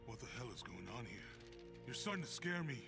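A man's voice: mid-range voice, sing-song, a little fast, vocal fry, music in the background. An actor playing a worried, skeptical male friend in a video game, speaking with alarm and disbelief. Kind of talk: cinematic video game acting. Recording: loud game score over voice. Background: game score.

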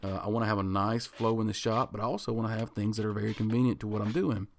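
A man in his thirties, a woodworking channel host, talking through his project in a relaxed voice-over, thinking aloud with ums and restarts. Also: lowish voice, quick pace, vocal fry, slight background noise. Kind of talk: casual voice-over explaining a project.

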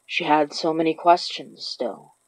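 A woman's voice: low-pitched, a little slow, rough recording. A woman reading scripted narration for a horror story. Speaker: narrator of a horror fiction podcast.

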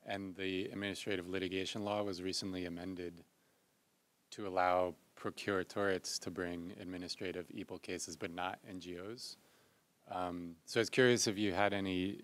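A man with a very low voice asks a question from the floor. The sound is dry and close, with no room ring. He speaks in a measured way, pausing to find his words. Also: dry recording. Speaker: audience member asking a question.

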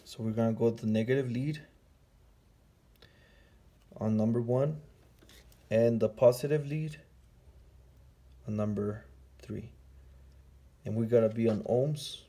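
A man's voice: medium pitch, measured pace, pauses often, warm tone. A man walking through a car sensor test in a hands-on repair tutorial, talking casually step by step while he works in a garage. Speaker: auto mechanic.

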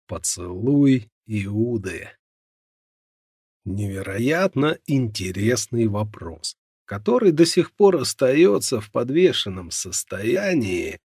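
A man with a gravelly, husky voice narrating a nonfiction audiobook in a measured, dramatic storytelling way. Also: medium pitch, sing-song, a little mellow, dry recording, studio quality.

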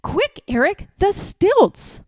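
A woman's voice: very high voice, very animated, takes it slowly, no room sound, studio quality, pitched above the performer's own voice, full character voice. A woman voicing a hardworking, playful king from a children's storybook, speaking emphatically. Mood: excited.

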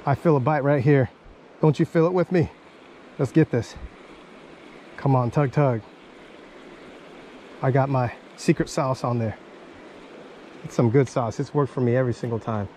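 A man filming himself fishing on a river bank with a chest-mounted camera, excitedly calling out to viewers as he fights and lands fish. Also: mid-range voice, normal speed, pauses often, a little hiss or hum. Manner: exclaims often, talks to viewers. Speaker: fishing vlogger. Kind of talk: excited casual commentary while fishing. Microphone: chest or head mounted action camera.

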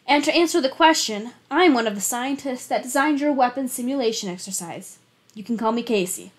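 A woman's voice: on the high side, animated, brisk, lightly acted. A woman voicing a sarcastic female scientist in a storybook reading. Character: sarcastic female scientist driving a getaway car.

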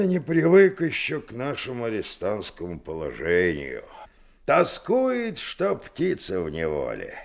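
A creaky-voiced, talkative convict in a radio play. He speaks slowly in rambling peasant phrases, kindly and folksy, with lively rises and falls. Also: lowish voice, heavily acted. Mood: sympathetic. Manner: folksy, rambling peasant speech, rhetorical questions. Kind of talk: Soviet radio drama acting.

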